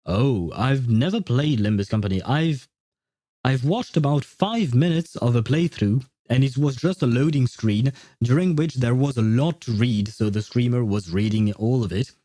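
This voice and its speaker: A man's voice: mid-range voice, lively intonation, moderate pace, dark tone. A man streamer chatting casually with viewers in a rambling, hesitant way. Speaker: livestreamer. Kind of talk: casual livestream chat, replying to viewers.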